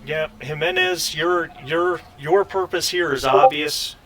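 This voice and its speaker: A man running a tabletop role-playing game as game master, giving an in-character briefing in a halting, improvised way with repeated phrases. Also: fairly high pitch, very animated, fairly bright tone.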